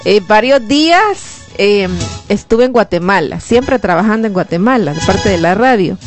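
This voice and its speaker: A woman's voice: mid-range voice, expressive, normal speed, soft background music. A woman with a Salvadoran Spanish accent hosting a live radio show, chatting and greeting listeners. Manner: friendly, chatty, reads listener messages aloud. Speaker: radio host. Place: radio studio.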